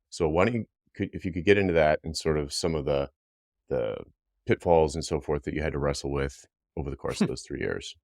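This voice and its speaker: A man's voice: extremely low pitch, darkish tone, dry recording, clean studio sound. A man hosting a podcast, asking probing questions and thinking aloud as he frames them. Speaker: podcast host. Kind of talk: interviewer questions.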